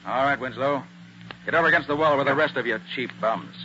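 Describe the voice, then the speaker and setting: An actor playing a confident, tough private detective in a 1940s radio drama, with an assured, commanding manner. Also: male voice, medium pitch, quick pace, sharp tone, natural voice. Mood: threatening. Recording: muffled, hissy old radio recording.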